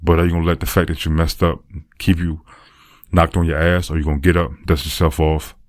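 A man hosting his own podcast, talking earnestly and encouragingly in a deep voice, repeating phrases to drive them home. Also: little pitch movement, brisk, dry recording, clean studio sound. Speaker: podcast host. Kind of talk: motivational monologue.

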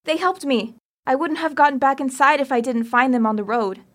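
A woman's voice: high-pitched, brisk. A woman playing an earnest teenage girl who pleads for help in an audio drama. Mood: pleading.

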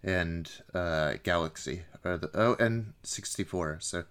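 Low-voiced man chatting about films. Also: a little slow, fairly bright tone, smooth. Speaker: podcast co-host. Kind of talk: casual film discussion.

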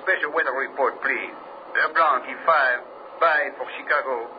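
An actor playing an urgent, alarmed airline co-pilot in a 1940s radio horror drama. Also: male voice, medium pitch, moderate pace, natural voice. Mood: brisk. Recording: noisy, muffled old radio transmission.

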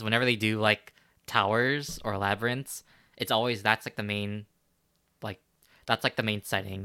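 A man's voice: fairly low pitch, bright, forceful tone, clear, resonant tone, dry recording, studio quality. A man chatting casually on a podcast, hesitant and full of fillers and restarts. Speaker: podcast co-host. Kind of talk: casual chat.

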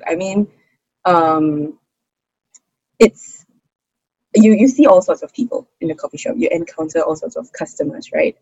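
Husky young Singaporean woman, laughing easily. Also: sits low, no echo, lo-fi sound. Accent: Singaporean English. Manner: chatty and expressive, laughs often, many fillers. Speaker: interview guest, hawker's daughter. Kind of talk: casual interview conversation.

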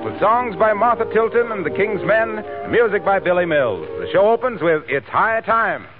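A man announcing a 1940s radio show and delivering its sponsor pitch in scripted period style. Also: very animated, normal speed, music in the background. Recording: old narrow-band radio broadcast.

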